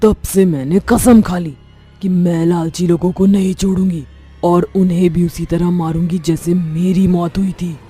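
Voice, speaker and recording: A woman's voice: fairly low pitch, fairly even intonation, normal speed, mellow, slightly rough, faint music behind. A woman voicing a vengeful, bitter female witch ghost in a horror cartoon, speaking in a menacing, declaiming way. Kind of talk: Hindi horror cartoon voice acting.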